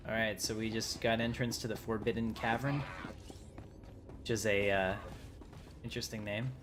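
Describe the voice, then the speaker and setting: A man's voice: on the low side, fairly even intonation, moderate pace. A man giving casual live commentary as he streams a game, rambling and hesitant in a laid-back way. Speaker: game livestreamer. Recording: clear game noise behind the voice. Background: video game ambience.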